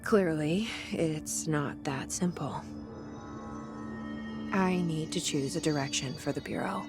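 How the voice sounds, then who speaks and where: A woman's voice: low voice, slow, music in the background. A woman playing a young, determined bureau director in a video game, wry, intent and self-questioning. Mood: resolute, reflective. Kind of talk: video game dialogue and inner monologue.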